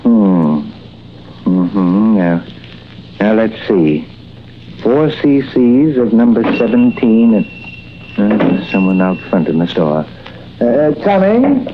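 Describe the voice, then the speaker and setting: An actor playing a kindly, stammering old shopkeeper doctor in a 1940s radio drama, on a muffled old recording with faint hiss. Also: male voice, slow, long pauses. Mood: puttering. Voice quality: stammering, chuckling, lively pitch.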